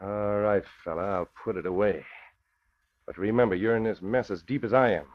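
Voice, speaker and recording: Old radio crime drama, dull recording, male actor as bullying gangster, low pitch, tough slang, threatening. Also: fairly even intonation, fairly quick, natural voice. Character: swaggering, bullying gangster killer in his hideout. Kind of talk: 1940s radio acting.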